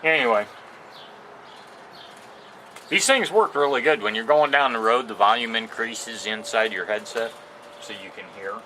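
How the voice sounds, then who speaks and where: A man giving a casual, unscripted product demo outdoors, talking in a plain-spoken, halting way. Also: mid-range voice, sharp tone. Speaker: amateur product reviewer. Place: outdoors on a porch. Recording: noisy outdoor recording with ambient sounds.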